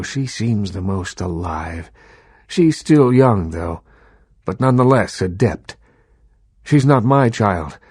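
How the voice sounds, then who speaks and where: Imperious, low-voiced old vampire baron. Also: male voice, a little mellow, no echo, studio quality. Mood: reflective. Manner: imperious, measured, eloquent, condescending. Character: imperious old male vampire baron, Hollywood film mogul. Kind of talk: video game character dialogue.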